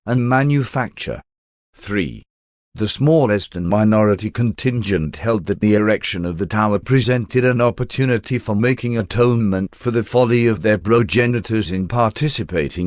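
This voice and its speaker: Low-pitched man, dry studio narration. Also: no echo. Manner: measured, formal, expository. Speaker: audiobook narrator. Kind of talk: scripted narration, reading a religious text aloud.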